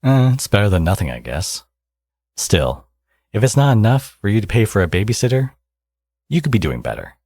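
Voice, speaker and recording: A man playing a smug, teasing villain with a secretly caring side in an ASMR audio roleplay, speaking casually and sardonically with a husky voice. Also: on the low side, big pitch swings, normal speed, darkish tone, no echo. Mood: smug, coaxing.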